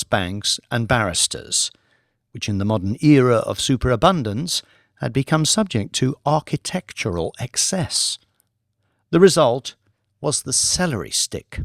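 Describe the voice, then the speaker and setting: A man narrating an audiobook in a measured, expressive reading. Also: mid-range voice, vocal fry, no room sound, studio quality.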